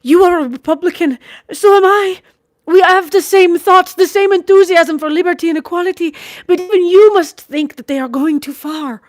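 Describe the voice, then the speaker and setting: A woman's voice: high-pitched, moderate pace, dry recording, pitched above the performer's own voice, close to an everyday voice. A woman voicing a passionate young noblewoman who pleads and turns bitter, in a dry audiobook reading. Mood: pleading. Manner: vehement, pleading, near tears.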